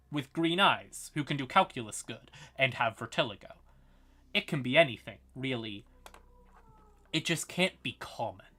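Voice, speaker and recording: A man's voice: medium pitch, pushed and bright. A man hosting a livestream, explaining fantasy lore in a casual, informal manner with some swearing. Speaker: live streamer and host.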